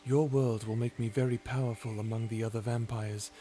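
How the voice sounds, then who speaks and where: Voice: mid-range voice, steady tone, fairly quick, dark tone, soft background music, pitched below the performer's own voice, natural voice. A performer voicing a cold, edgy male tsundere vampire in an ASMR roleplay, curt and dismissive, hiding fondness. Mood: defensive, dismissive. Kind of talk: ASMR roleplay character acting.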